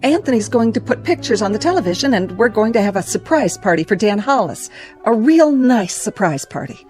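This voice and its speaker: A woman's voice: medium pitch, big pitch swings, fairly quick, darkish tone, faint music behind, close to an everyday voice. An actress playing an anxious small-town mother in a radio drama, folksy and insistent, forcing cheerfulness out of fear. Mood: forced cheer. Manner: folksy, insistent, repeating reassurances.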